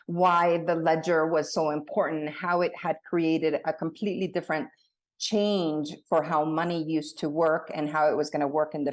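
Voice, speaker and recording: Interview guest: woman, 30s, grew up in Latin America, low voice, earnest and conversational, restarts often. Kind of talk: casual conversational storytelling.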